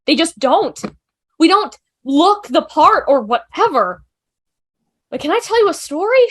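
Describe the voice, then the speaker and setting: Outspoken, nervy young female student for TV drama table read, played by a woman; very high, animated, theatrical delivery. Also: exaggerated voice. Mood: exasperated. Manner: rambling, emphatic, theatrical.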